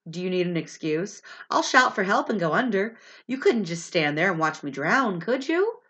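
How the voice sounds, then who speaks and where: A woman's voice: mid-range voice, very animated, normal speed, a bit bright, husky. A woman voicing a proud, scornful young male sailor in a storybook, taunting and indignant, with a stuffy voice. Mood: teasing. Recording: dull, lo-fi sound.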